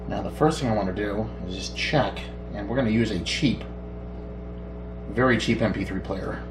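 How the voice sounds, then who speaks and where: A middle-aged man casually demonstrating electronics at a workbench, thinking aloud in an unhurried way as he works. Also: lowish voice, music in the background. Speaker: electronics repair hobbyist, host. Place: small indoor home workshop at a bench. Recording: roomy, distant mic with background noise.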